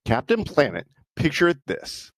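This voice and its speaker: Male podcast co-host, jokey and foul-mouthed, very expressive intonation, casual banter. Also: on the high side, unhurried, dry recording. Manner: excitable, jokey, profane. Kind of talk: casual comedic podcast chat.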